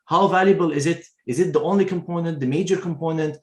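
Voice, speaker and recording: A man hosts a video interview, praising his guest with plenty of enthusiasm. His voice sits a bit high. The sound bounces around an echoey room. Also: quick pace, a little mellow. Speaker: host.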